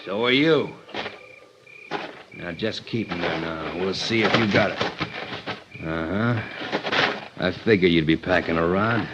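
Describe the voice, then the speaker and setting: A man's voice: low-pitched, measured pace, long pauses. An actor playing a menacing American gangster who talks in curt tough-guy slang in a vintage radio crime drama. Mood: cold menace. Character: menacing hard-boiled gangster with a gun. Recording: noisy old radio recording with clicks.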